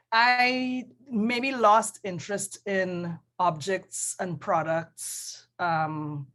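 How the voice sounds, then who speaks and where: A woman with a Trinidadian accent, a design professor speaking in a casual panel conversation, thoughtful and hesitant, with many pauses and self-corrections over a video call. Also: mid-range voice, fairly bright tone. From Trinidad and Tobago.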